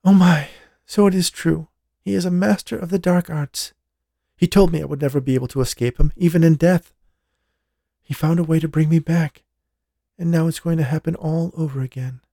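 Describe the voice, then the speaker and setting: A man's voice: fairly high pitch, fairly even intonation, moderate pace, vocal fry, warm tone, no echo, clean studio sound, voice pushed up. A man voicing a frightened, pleading young woman. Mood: fearful. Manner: pleading, tearful and urgent.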